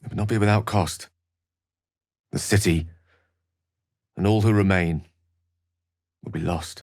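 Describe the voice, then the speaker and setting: A man's voice: on the low side, fairly even intonation, a little slow, a little mellow, no echo, clean studio sound. An actor playing an eloquent, learned wizard in a video game, articulate and bookish, wry and earnest in his florid phrasing. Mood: grave, resolute. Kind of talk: scripted video game character dialogue.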